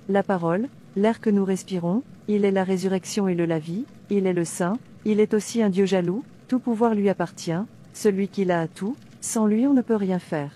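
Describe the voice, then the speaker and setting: Woman acting devout female preacher, cartoon narration, mellow tone, mid pitch, solemn, didactic. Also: a little hiss or hum, lightly acted. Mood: solemn, instructive. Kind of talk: scripted religious narration and cartoon dialogue.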